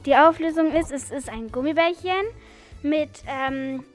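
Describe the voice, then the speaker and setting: A woman with a high, bright voice hosts a blind tasting game. She announces each answer briskly and cheerfully. Music plays clearly behind her. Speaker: interviewer and quiz host. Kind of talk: hosting a blind taste test and interview.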